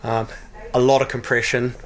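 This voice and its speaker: A man's voice: medium pitch, moderate pace, crowd noise. A man who produces radio promos, talking casually in an interview with frequent fillers and restarts. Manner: relaxed, hesitant, with many fillers and restarts.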